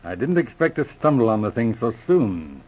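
Husky, measured police inspector. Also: male voice, mid-range voice, sing-song. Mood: methodical, confiding. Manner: measured, explanatory, authoritative. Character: seasoned police inspector, calm, methodical, philosophical senior detective. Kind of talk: 1940s radio drama acting. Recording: dull, muffled old radio recording.

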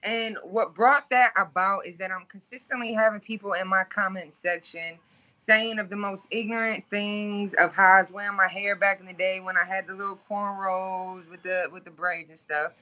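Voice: mid-range voice, steady tone, moderate pace, sharp tone, poor audio. A guest from Toledo, Ohio, speaking bluntly and candidly in an interview, swearing freely.

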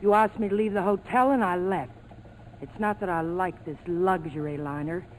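A woman's voice: on the low side, fairly even intonation, normal speed, close to an everyday voice. Woman playing a guarded, hard-boiled dame. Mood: defiant, annoyed. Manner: cool, cutting, sardonic. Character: hard-boiled sardonic young American woman, defiant and guarded. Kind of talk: 1940s radio acting. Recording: noisy old 1940s radio broadcast.

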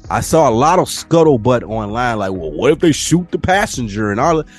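Podcast co-host, young adult man, mid-pitched, easygoing, animated, faint music underneath. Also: moderate pace. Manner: animated storyteller, mimics people in higher voices. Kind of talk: casual chat.

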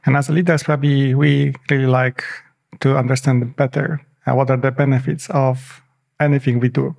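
A man hosts a podcast with a gravelly, mid-pitched voice. He asks his questions formally, pausing and correcting himself along the way. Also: level tone, darkish tone. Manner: formal, slightly halting questions. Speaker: podcast host. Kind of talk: podcast interview.

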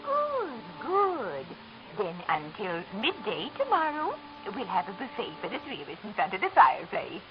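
A woman's voice: medium pitch, huge pitch swings, moderate pace. A fluttery genteel old lady. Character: sweet-seeming elderly lady hostess. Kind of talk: 1940s radio drama acting. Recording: noisy dull old radio broadcast.

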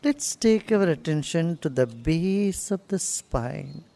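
A woman leading a guided meditation in a soothing, gently instructing manner. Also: deep voice, lively intonation, takes it slowly, creaky.

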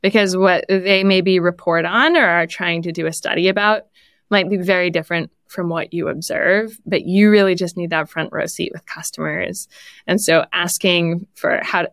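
A woman's voice: on the low side, normal speed, a bit bright, clear, resonant tone, no room sound, clean studio sound. A woman speaking conversationally as an interview guest, giving practical advice as a product leader and coach. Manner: laughs lightly while talking.

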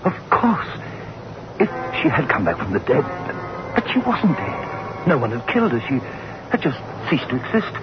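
A man playing a jittery, guilt-ridden husband in a 1960s radio horror drama, tense and halting. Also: medium pitch, huge pitch swings. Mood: haunted, fearful. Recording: muffled old radio recording, loud music.

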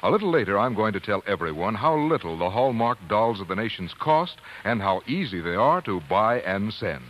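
A man's voice: fairly low pitch, very animated, normal speed, hardly any pauses, highs cut off. A man announcing on 1940s radio, hosting a scripted sponsor chat. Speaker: announcer and commercial host. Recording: 1940s radio broadcast recording.

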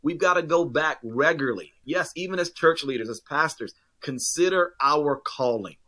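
Pastor guy, kinda high bright voice, earnest, lots of um you know. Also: normal speed. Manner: earnest, reflective, many fillers. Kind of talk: casual podcast discussion.

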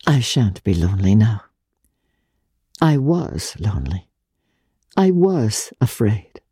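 A narrator, a woman, plays a genteel lady of old New York, earnest and sorrowful. She speaks slowly and fervently in a hushed, husky voice, as in a dramatic storybook reading. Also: a bass voice, big pitch swings, no room sound, clean studio sound, voice pushed down, heavily acted. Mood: calm, wistful. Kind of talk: dramatic audiobook character reading.

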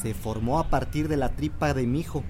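A man's voice: medium pitch, a little fast, over music, natural voice. An actor playing a worried village father in a radio drama, speaking in an earnest, pleading way. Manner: pleading, earnest, rises to urgent. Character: Don Gabriel, worried older village man, father.

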